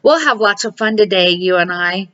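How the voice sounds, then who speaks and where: A woman's voice: medium pitch, lively intonation, normal speed, a bit bright, highs cut off, lightly acted. A woman voicing a jolly, playful old grandfather bear in a storybook, hearty and a little boastful.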